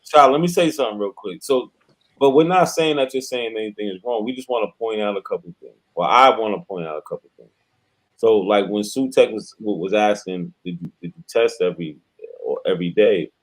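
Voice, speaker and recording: A man co-hosting a stream discussion, calmly explaining a point in casual slang as mediator. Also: fairly low pitch, fairly quick, rough recording. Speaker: co-host acting as mediator.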